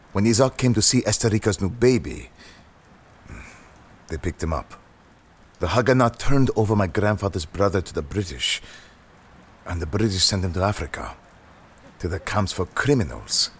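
A man voicing a soft-spoken older man recounting a painful family history. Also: sits low, expressive, normal speed, long pauses, breathy edge, full character voice. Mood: somber.

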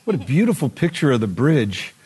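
An interviewer with a husky, mid-pitched voice. The host asks questions in a conversational way and adds short devotional affirmations. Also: sing-song, brisk, darkish tone, poor audio.

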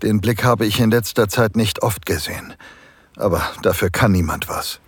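Man playing pleading submissive husband, deep-ish voice, unhurried halting speech, breathy, close dry sound, erotic audio drama. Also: dry recording. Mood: confiding. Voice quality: husky, breathy. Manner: slow, hushed, halting with moans. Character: submissive married man, construction foreman, eager, aroused, pleading. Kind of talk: intimate first-person erotic audio drama narration.

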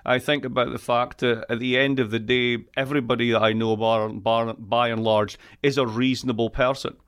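A man with an east coast Scottish accent, a guest on an interview show, talking in a wry, joking way with quick asides. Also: mid-range voice, level tone, fairly bright tone, clean tone. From Scotland. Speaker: guest, economist. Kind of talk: lively podcast interview.

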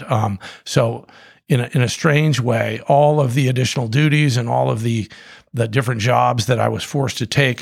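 A middle-aged man with a heavily gravelly, husky voice tells his story unhurriedly, circling back with restarts and fillers. The sound is close and dry, with no room around it. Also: medium pitch, dry recording. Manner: rambling, many restarts and fillers. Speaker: guest, coffee company co-founder. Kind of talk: casual storytelling.

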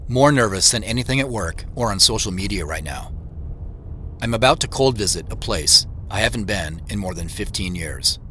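An actor playing a thoughtful, nervous man, narrating an audio drama in the first person in a measured, intimate way. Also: lowish voice, sharp tone, a little hiss or hum. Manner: measured, reflective, intimate storytelling. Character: Joseph, thoughtful, nervous man retracing family memories. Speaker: narrator and lead character. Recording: music under the voice.

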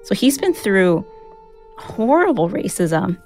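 A young adult woman, raised speaking Mandarin first, telling a personal story in a candid, reflective way with soft laughs. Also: mid-range voice, very animated, takes it slowly, faint music behind. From United States. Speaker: interviewee, newsletter writer.

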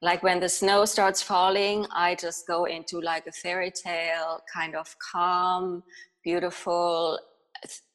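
A woman from Germany speaks as a guest on a podcast. Her voice is a little low for a woman, and she shares personal stories in a casual, conversational way. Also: little pitch movement, slow, a bit bright, rough recording.

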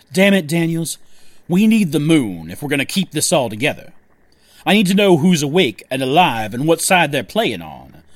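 A man's voice: on the high side, very animated, moderate pace, no room sound. A man playing a controlling, impatient faction leader in a sci-fi audio drama, talking in a clipped, commanding, weary way. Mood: tense, urgent.

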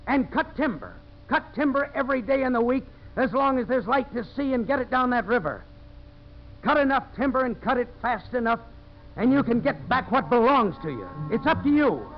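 Tough, earnest radio-drama hero, widely swinging pitch. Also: male voice, expressive, moderate pace. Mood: rousing, forceful. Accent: American. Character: tough, determined man turned earnest champion of settlers. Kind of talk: 1950s American radio drama acting. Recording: muffled, hissy old radio broadcast.

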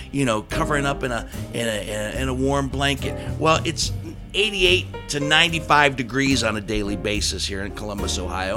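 A man with a head cold hosting a casual podcast, talking in a wry, emphatic, conversational way. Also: mid-range voice. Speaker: podcast host. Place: recorded in Columbus, Ohio.

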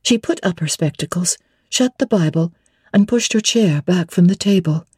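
Mellow woman narrating classic literature. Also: fairly low pitch, moderate pace, slightly rough, no echo, studio quality. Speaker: narrator and host reading a classic novel. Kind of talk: dramatic first-person literary narration.

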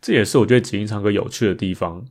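A man with a fairly low voice and a Taiwanese Mandarin accent hosts a podcast. He talks through his thoughts in a casual, reflective monologue. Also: very fast, darkish tone. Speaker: podcast host. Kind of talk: reflective casual monologue about improvised songs.

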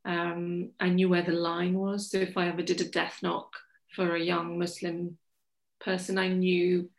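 A woman from England, a journalist telling her story in a forthright, lively interview. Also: fairly low pitch, unhurried, creaky. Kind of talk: candid conversational storytelling. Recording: echoey room.

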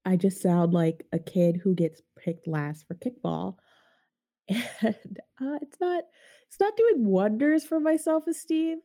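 Woman podcast host, stuffed-up nasal voice, gravelly and dark, chatty and self-mocking. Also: lowish voice. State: congested from a sinus infection. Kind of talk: casual podcast chat.

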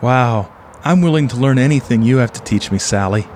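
A man's voice: mid-range voice, expressive, brisk, darkish tone, slight background noise, close to an everyday voice. Earnest, awkward motel man, played by an actor. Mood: smitten. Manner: earnest, hesitant. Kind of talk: audio play acting and first-person narration.

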